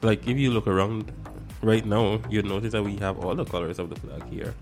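A Guyanese man co-hosting a morning radio show, bantering in a relaxed, easygoing way. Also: lowish voice, a little fast, soft background music. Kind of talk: casual morning show chat.

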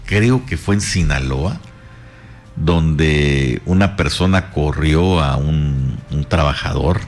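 A man's voice: a bass voice, slow, faint music behind. A man with a Mexican accent hosting a casual gossip chat, teasing and joking as he talks. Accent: Mexican Spanish. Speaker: host.

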